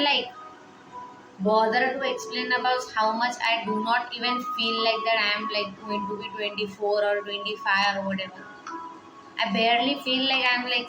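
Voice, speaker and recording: Rambling young woman, echoey room. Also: on the high side. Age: 20s. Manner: rambling, hesitant, heavy on fillers; quietly upset, reflective. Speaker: vlogger. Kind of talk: personal confessional vlog. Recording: echoey room with music playing behind.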